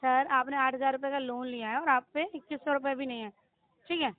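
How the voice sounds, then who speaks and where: An Indian woman works through a debt collection call in a high, fast-moving voice. Her questioning is insistent and confrontational, like an agent who wants a straight answer. The sound is a narrow, tinny phone recording. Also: steady tone, no room sound. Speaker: loan recovery call centre agent. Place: on a phone line.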